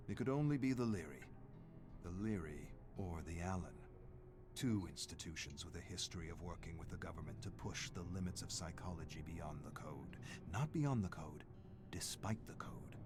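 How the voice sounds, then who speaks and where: A male narrator with a very low voice over a clear music bed. He reads scripted drama with intensity, pausing to hammer repeated phrases.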